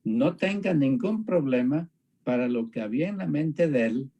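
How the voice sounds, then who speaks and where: Man, mellow voice bit husky, thinking out loud and repeating himself to make the point. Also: mid-range voice, animated, dull audio. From Panama. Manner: halting, repeats phrases for emphasis. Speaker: discussion partner on a religious show. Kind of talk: reflective conversational explanation.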